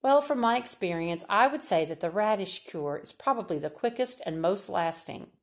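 A woman's voice: mid-range voice, lively intonation, normal speed, natural voice. A woman reader voicing a kindly, unflappable older woman who gives cheerful, matter-of-fact advice about children.

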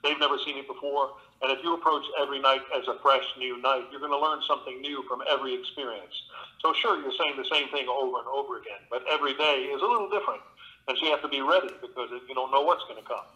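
A man's voice: mid-range voice, fast talker, sharp tone, highs cut off. An older man, a guest actor, talking earnestly and thoughtfully in an interview, often restarting his sentences. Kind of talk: reflective interview talk.

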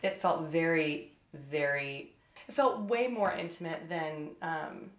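A woman's voice: sits low, takes it slowly. A woman who acts and narrates, talking casually in a roundtable discussion. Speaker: actor and narrator on a panel. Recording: roomy, echoey room.

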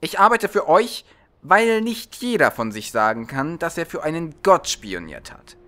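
A male reader plays a young mercenary spy in a fantasy audiobook. He is politely sly and cheeky, with a raised, bright voice and lively intonation. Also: on the high side, moderate pace, voice pushed up.

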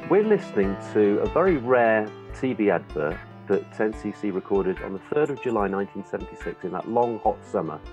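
A man in his fifties with a fairly low voice tells his story with enthusiasm. He is on a video call from home, with faint music behind him. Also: expressive, brisk, few pauses, lo-fi sound. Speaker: interviewed film director. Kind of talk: enthusiastic interview account. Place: home room lined with records.

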